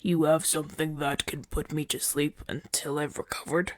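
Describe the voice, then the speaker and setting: A man playing a proud, wounded gladiator champion in an ASMR audio roleplay, speaking in a weary, halting, breathy way with gruff bluster. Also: fairly high pitch, flat delivery, dry recording, close to an everyday voice. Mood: drowsy, trusting. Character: wounded male gladiator champion, brash, proud, trusting his doctor.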